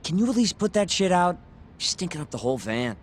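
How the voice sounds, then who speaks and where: An actor playing a brash, impatient young sidekick in a video game, casual and snippy. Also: male voice, high-pitched, sing-song, quick pace, a little hiss or hum. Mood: irritated. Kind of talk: English video game dub acting.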